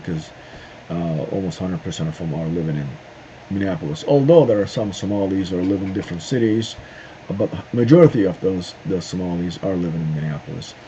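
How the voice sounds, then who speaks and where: A middle-aged man from Turkey, speaking a halting opinion monologue to camera with many pauses and "uh"s. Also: low-pitched, dark tone. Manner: halting, searching for words with frequent "uh" and "um" pauses. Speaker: video host. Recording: dull lo-fi sound with faint noise.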